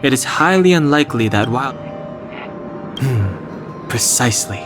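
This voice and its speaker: An actor playing a cool, reserved young man in a game cutscene, measured and precise. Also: medium pitch, lively intonation, over music, close to an everyday voice. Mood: analytical. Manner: measured, precise, matter-of-fact.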